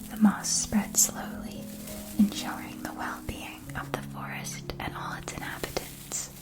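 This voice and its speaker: A woman whispering softly and breathily in a scripted ASMR roleplay as a gentle magic shopkeeper, enunciating each phrase with care. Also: medium pitch. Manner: slow, deliberate, careful enunciation.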